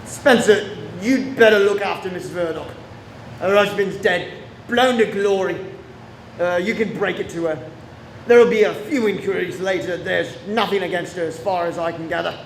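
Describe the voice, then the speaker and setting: A man's voice: high-pitched, moderate pace. A man playing a brusque, inquisitive police inspector with a wry streak in a radio drama. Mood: matter-of-fact. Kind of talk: radio play acting. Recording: echoey room with faint noise.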